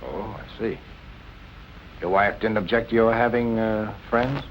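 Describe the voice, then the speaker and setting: An actor playing a dry, businesslike police captain questioning suspects in 1940s film noir style. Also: male voice, fairly low pitch, unhurried, close to an everyday voice. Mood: dry, probing. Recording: noisy old film soundtrack.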